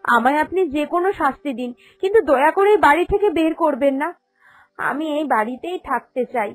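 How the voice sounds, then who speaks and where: A woman's voice: high-pitched, expressive, a little fast. A woman voicing a meek, innocent young daughter-in-law in a cartoon, pleading submissively and sorrowfully. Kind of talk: cartoon storytelling dialogue. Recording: voice over background music.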